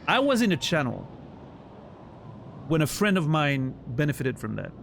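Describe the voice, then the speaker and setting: A man's voice: a little high, big pitch swings, normal speed, vocal fry, a bit bright, a little hiss or hum. A man from Switzerland with a Swiss French accent, a native French speaker chatting casually with viewers while streaming a game, often restarting his sentences. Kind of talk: casual chat while gaming.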